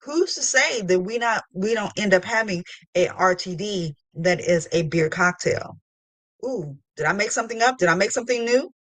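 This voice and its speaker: A woman's voice: medium pitch, expressive, normal speed, a bit bright, dry recording. A woman hosting a podcast, chatting casually and interviewing in a playful, effusive way. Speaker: podcast host.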